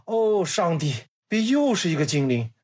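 A man's voice: sits high, big pitch swings, normal speed, a little mellow, voice pushed up. A man voicing a rude, bored heckler at a literary club, whiny and dismissive, in a dramatized story. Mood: exasperated.

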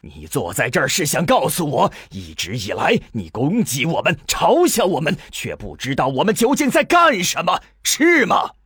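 A man's voice: a little high, huge pitch swings, normal speed, few pauses, fairly bright tone, slightly rough, dry recording, clean studio sound, full character voice. A man playing a stern, authoritative older observatory director in a radio drama, speaking in a grave, dramatic manner. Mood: indignant. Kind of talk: Chinese radio drama acting.

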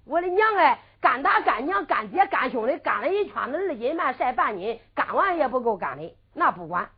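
Woman doing a cheeky young servant girl, henan accent, high lively scolding, muffled old tape. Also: slightly rough, heavily acted. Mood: teasing. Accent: Henan dialect. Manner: quick, scolding sing-song banter. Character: sharp-tongued young servant girl, teasing. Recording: muffled lo-fi recording.

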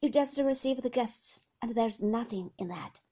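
A woman reading a solemn, proper young gentleman. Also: on the high side, normal speed. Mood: earnest. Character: earnest, stiffly correct young gentleman.

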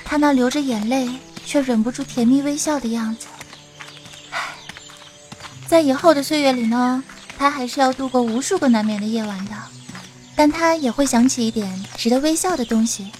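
A woman's voice: high voice, moderate pace, a little mellow, faint music behind, close to an everyday voice. A woman playing an earnest young female adventurer who bosses her companion in an audio drama. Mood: wistful. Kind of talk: Chinese audio drama acting.

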